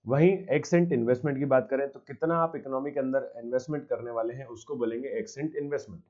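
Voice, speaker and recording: A man's voice: medium pitch, fast talker, darkish tone. Male teacher going through a lecture step by step, asks a question then answers it, muffled lo-fi audio. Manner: mixes English terms into his speech. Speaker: commerce teacher. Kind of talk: recorded exam-prep lecture.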